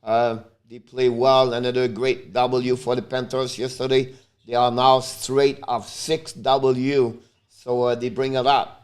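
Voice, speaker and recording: A man from Montreal whose first language is French, speaking English with a Quebec French accent as he hosts a casual live stream, calling the game and chatting with viewers, with loud sudden outbursts. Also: medium pitch, flat delivery, a little slow. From Montreal, Canada. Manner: talks straight to viewers. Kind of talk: casual live play-by-play and chat.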